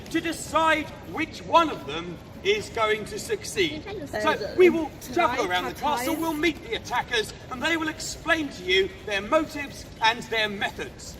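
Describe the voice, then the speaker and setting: Man playing a high-pitched, declamatory castle guard. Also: exaggerated voice. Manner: theatrical, declamatory, projecting to a gathering. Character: jovial, blustering male castle guard rallying the garrison. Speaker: costumed guide performing for visitors. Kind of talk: outdoor live historical re-enactment, audience participation. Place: outdoors at a castle. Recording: outdoor crowd, noisy open reverberant space.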